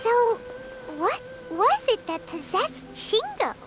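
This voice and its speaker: A woman's voice: very high-pitched, wildly swooping pitch, slow, exaggerated voice. A woman voicing a tiny, nosy female fairy companion in a video game. She talks about herself by name and asks blunt questions. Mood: curious. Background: game music.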